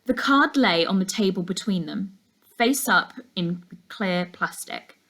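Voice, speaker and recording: A woman's voice: mid-range voice, a bit bright. A woman reading a children's novel aloud as a teacher does for her class.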